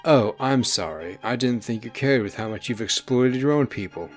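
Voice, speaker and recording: An irreverent fantasy rebel, voiced by a man, talks bluntly and with emphasis. He is sardonic and defiant, a storybook troublemaker heard over clear background music. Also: medium pitch, lively intonation, normal speed, close to an everyday voice. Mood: sarcastic. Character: defiant, irreverent, plain-spoken male rebel subject. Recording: music bed behind the voice.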